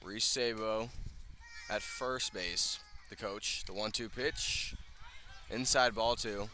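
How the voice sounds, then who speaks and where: A man's voice: lowish voice, steady tone, slow, sharp tone. A man calling a baseball game live as a radio play-by-play announcer, speaking from field level at an outdoor ballpark.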